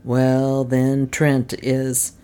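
A woman voicing a gruff, sarcastic male police detective who badgers and gloats in a mystery story. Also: a bass voice, monotone, very slow, mellow, full character voice. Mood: gloating.

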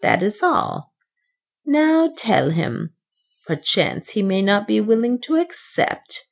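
A woman voicing a desperate, secretive servant woman in a storybook reading, speaking quietly and insistently. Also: mid-range voice, sing-song, takes it slowly, vocal fry, pitched above the performer's own voice, full character voice. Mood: urging.

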